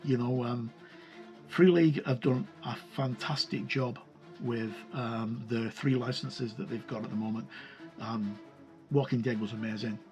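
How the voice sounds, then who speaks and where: A man's voice: mid-range voice, steady tone, a little slow, darkish tone, soft background music, rough recording. A man hosting a game review, talking casually and unscripted, rambling and hesitant as he pages through a book. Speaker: host of a tabletop game review channel.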